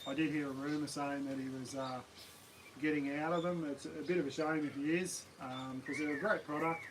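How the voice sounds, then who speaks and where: A man with an Australian accent and a slightly croaky voice, chatting casually and rambling on a live stream from his backyard. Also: fairly high pitch, moderate pace, background noise. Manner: rambling, relaxed, many ums and uhs. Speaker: camping channel host. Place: backyard outdoors.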